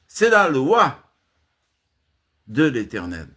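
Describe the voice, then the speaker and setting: A man delivering an impassioned spoken rant to his channel, swinging from emphatic high outbursts to low muttered asides, with frequent restarts. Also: huge pitch swings, drawn-out words, bright, forceful tone. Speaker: channel host giving a monologue.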